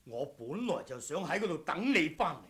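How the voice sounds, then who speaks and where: An actor playing a proud, vengeful martial-arts swordsman in a radio drama, in a theatrical, deliberate manner. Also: fairly high pitch, sing-song, fairly bright tone. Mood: regretful, urgent. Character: vengeful wuxia swordsman, relentless, grimly proud. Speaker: audiobook voice actor.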